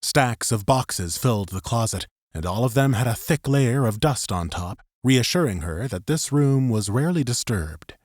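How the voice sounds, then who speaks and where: A man's voice: mid-range voice, lively intonation, normal speed, hardly any pauses, no echo, clean studio sound. A man narrating a scripted third-person story as an audiobook narrator.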